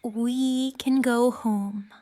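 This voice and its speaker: A woman playing a gentle, earnest young heroine in a fantasy audio drama, with a deliberate, soothing delivery. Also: on the high side, fairly even intonation, no room sound. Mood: gently reassuring.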